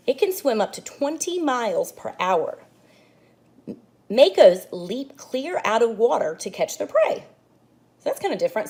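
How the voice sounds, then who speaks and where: Expressive woman reading aloud to kids. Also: medium pitch. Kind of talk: children's read-aloud lesson.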